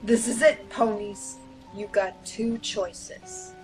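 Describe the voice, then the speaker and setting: A woman voicing an impatient young female pony villain in a dramatic story, giving forceful, threatening commands. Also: medium pitch, fairly even intonation, slow, faint music behind, natural voice, rough recording. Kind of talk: dramatic fan fiction audio reading.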